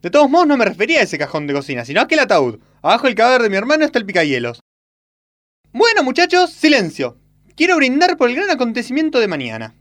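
An actor playing a brisk, bossy, morbidly matter-of-fact housewife in a parody radio play. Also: medium pitch, wildly swooping pitch, fairly bright tone, dry recording, studio quality.

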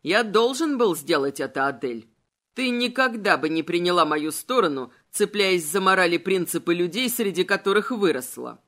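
A cold male immortal villain from a fantasy audiobook, acted out by a woman. The voice drops low and moves with slow, insinuating mockery. Every phrase is measured and manipulative. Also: animated, a bit bright. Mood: cool, calculating. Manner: insinuating, unhurried, mocking.

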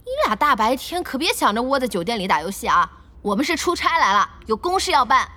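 An actress playing a bossy, good-hearted young woman who runs an internet cafe in an audio drama, brisk and scolding with lots of exclamations. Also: on the high side, very animated, bright, forceful tone.

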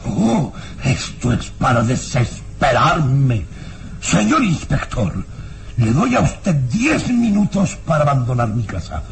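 An actor playing an arrogant, irascible baron in a vintage radio drama, with a theatrical, sinister, scornful delivery. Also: male voice, mid-range voice, sing-song, slow, gravelly, heavily acted. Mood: indignant, scornful. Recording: noisy old radio drama recording.

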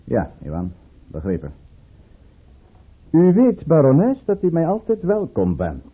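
An actor playing a commanding, guarded count and governor in an old-fashioned radio drama, with a formal, deliberate, urbane delivery. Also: male voice, on the high side, wildly swooping pitch, husky, exaggerated voice. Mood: stern, courteous. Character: commanding, guarded male count and governor, coolly courteous schemer. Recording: muffled, phone-like old radio recording.

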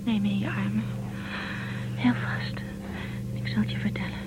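A woman playing an anxious, tender young space traveller in a radio drama, expressive and pleading. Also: mid-range voice, normal speed, in a whisper, lightly acted. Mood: fearful, tender. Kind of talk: Dutch radio drama acting.

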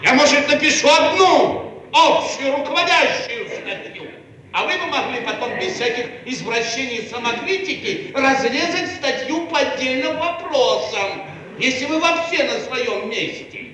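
High-voiced, pompous Soviet boss, echoey. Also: male voice, lively intonation, sharp tone, heavily acted. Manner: pompous, declamatory, slow bureaucratic dictating. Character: pompous self-satisfied male Soviet bureaucrat boss. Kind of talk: archival Soviet radio theatre acting. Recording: echoey archival radio recording, faint noise.

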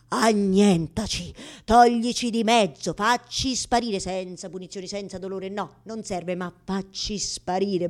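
An anguished woman played by a stage actress addresses God directly in a radio-theatre monologue. Her mid-pitched voice is halting and insistent, circling back over the same phrases. She sounds fearful and pleading, able to move between intimate murmur and outcry. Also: natural voice. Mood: impassioned pleading, then quiet begging.

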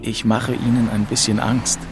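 An actor playing a weary, haunted young man who narrates his story in a measured, brooding way. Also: medium pitch, flat delivery, over music, lightly acted. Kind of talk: scripted first-person audio drama narration.

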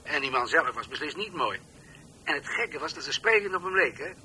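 A man's voice: a little high, lively intonation, fairly quick, sharp tone, a little hiss or hum. An actor playing a dreamy, eccentric old senior police officer in a radio play, musing as he talks. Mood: musing, wondering. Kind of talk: Dutch radio play acting.

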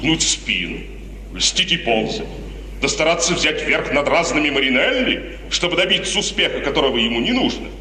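A man's voice: on the high side, sing-song, moderate pace, bright, forceful tone. An actor playing a stern, proud, suspicious middle-aged father in a declamatory 1950s Russian radio drama. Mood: indignant. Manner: declamatory, emphatic. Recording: noisy, reverberant 1950s radio recording, distant.

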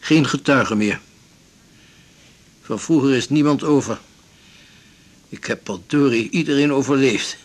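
An actor playing an elderly, blunt, resolute widowed seaman in a radio drama, speaking in a measured, formal, philosophical way. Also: mid-range voice, lightly acted. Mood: bitter, resigned. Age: 70s or older. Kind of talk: 1980s Dutch radio drama acting.

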